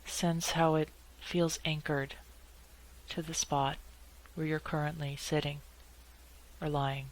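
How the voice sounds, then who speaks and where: A woman leading a guided meditation in a calm, measured way, leaving long pauses between short phrases. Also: low voice, poor audio.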